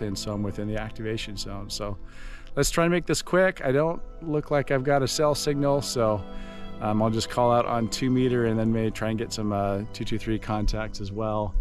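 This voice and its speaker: A man narrating a casual outdoor hiking vlog as a radio hobbyist, in a matter-of-fact, low-key way. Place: outdoors on a forested hiking trail.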